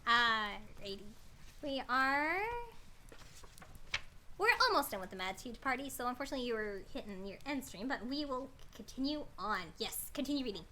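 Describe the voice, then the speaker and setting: A woman chatting casually as a livestream host, bubbly, scattered and excitable. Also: fairly high pitch, normal speed, a little hiss or hum. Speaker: streamer, host of a story-reading livestream.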